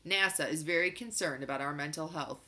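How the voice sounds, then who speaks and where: A woman narrates a children's novel in an expressive read-aloud style. Her voice is bright and forceful, sitting a little low for a woman. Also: fairly quick.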